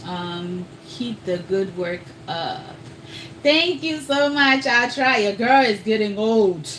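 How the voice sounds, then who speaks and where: A woman's voice: mid-range voice, lively intonation, takes it slowly, fairly bright tone, a little hiss or hum, low-quality recording. A woman with an accent between Ghanaian and American, hosting a casual live cooking stream and chatting straight to her viewers. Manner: chatty, talks straight to viewers, calls them y'all. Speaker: cooking livestream host.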